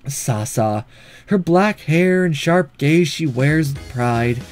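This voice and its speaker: A man's voice: a little high, expressive, takes it slowly, faint music behind. A man voicing a sleepy, detached schoolgirl who narrates a visual novel in a weary, blunt, matter-of-fact way. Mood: matter-of-fact, musing.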